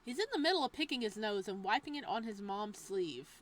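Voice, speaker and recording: A young woman at an ordinary middle pitch reads the text of a visual novel aloud. She drops quiet and even for the narration, then jumps into loud, playful side comments. Her talk is casual and jokey, with the odd curse. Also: a little fast, slight background noise. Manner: reads narration softly, breaks into loud animated asides; casual and profane, joking. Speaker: game streamer.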